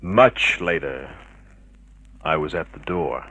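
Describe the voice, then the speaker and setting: An actor playing a cynical, unflappable private detective, terse and dry, in vintage radio drama style. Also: male voice, very deep voice, animated, slow pace, sharp tone, no echo, full character voice. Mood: hard-boiled narrating. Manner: terse, hard-boiled, dry. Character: cynical, unflappable male private detective narrating his case.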